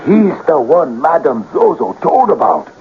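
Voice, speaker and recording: An excitable, wily male sidekick in old radio drama style, coaxing and dramatic. His pitch swings widely, on a muffled recording with some hiss. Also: a little slow. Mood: persuasive. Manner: theatrical, cajoling, dramatic. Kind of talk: 1970s radio drama acting. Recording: dull, noisy old radio broadcast recording.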